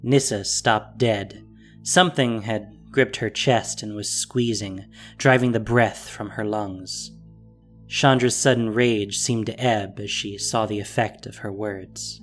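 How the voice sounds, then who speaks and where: A man's voice: on the low side, steady tone, takes it slowly, a bit bright. Male fantasy narrator over music. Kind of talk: scripted fantasy story narration.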